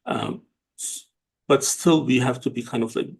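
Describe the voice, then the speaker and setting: A man from Turkey, hosting an interview in a casual, halting way with many fillers and restarts. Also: medium pitch, creaky, slightly rough, low-quality recording. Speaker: host and company CEO.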